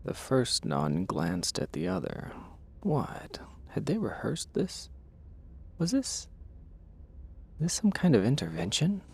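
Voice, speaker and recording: A man narrating an audio drama with a gravelly, husky voice and a wry, dramatic delivery. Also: mid-range voice, huge pitch swings, takes it slowly, long pauses, darkish tone. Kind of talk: scripted audio drama storytelling.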